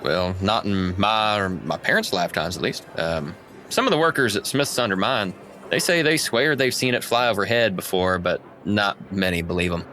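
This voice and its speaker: A man's voice: low-pitched, moderate pace, sharp tone, slight background noise. A man playing a chatty, obliging tavern keeper in a tabletop game, folksy and rambling. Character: chatty, obliging male tavern keeper sharing local legend.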